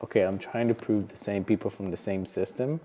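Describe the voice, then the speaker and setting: A man's voice: lowish voice, fairly even intonation, fairly quick, mellow, in a large hall. A young man hosting a podcast, speaking frankly and swearing freely in casual chat. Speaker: podcast host.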